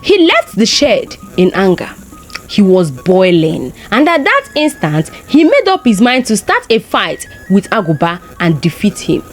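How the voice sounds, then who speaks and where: A woman with a Nigerian accent telling a folktale in an expressive narrating style. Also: medium pitch, measured pace, soft background music. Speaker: storyteller.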